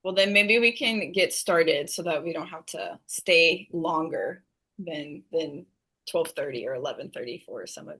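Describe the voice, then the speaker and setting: A woman hosting a casual group interview over a video call, speaking informally and haltingly. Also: deep voice, animated, pushed and bright, breathy edge.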